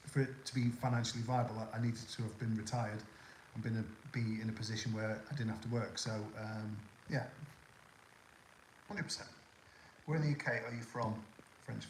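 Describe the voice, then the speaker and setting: A man's voice: mid-range voice, slight background noise. Older man, mild Scouse-tinged northwest accent, mid-range voice, chatty and rambling, live-stream host, phone mic in an echoey room. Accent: toned-down Northwest English (Scouse). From Liverpool area, England. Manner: rambling and chatty with many ums and restarts. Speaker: livestream host. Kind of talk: casual live chat, answering viewer questions.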